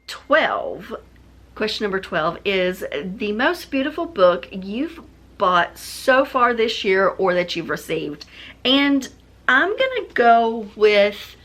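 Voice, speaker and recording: Female book vlogger, mid-pitched voice, chatty and informal, speaking directly to viewers. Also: fairly bright tone. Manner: chatty, conversational. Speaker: book reviewer, video host. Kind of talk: casual chat to viewers.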